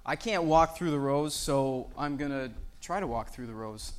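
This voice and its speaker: A man's voice: medium pitch, normal speed, clear, resonant tone. Friendly church youth leader, a man. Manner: friendly, chatty with children, then solemn in prayer. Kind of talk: church talk and prayer.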